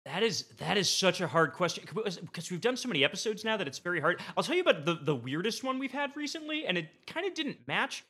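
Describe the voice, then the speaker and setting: A man is a guest on a casual podcast. His voice sits a little high and is bright, swinging freely up and down as he talks. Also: big pitch swings, quick pace, hardly any pauses, husky.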